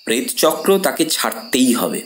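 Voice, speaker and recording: A confident man in his thirties played by an actor in a horror audio play. His voice sits at a middle pitch, with an emphatic, reassuring delivery of a devoted fiancé. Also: very animated, moderate pace, natural voice. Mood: earnest, resolute. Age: 30s. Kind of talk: audio horror drama acting.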